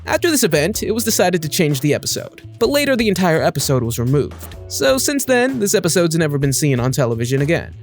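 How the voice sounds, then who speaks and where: A man reads scripted video narration in a clearly enunciated, storyteller-like way. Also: fairly high pitch, sing-song, fast, few pauses. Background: background music.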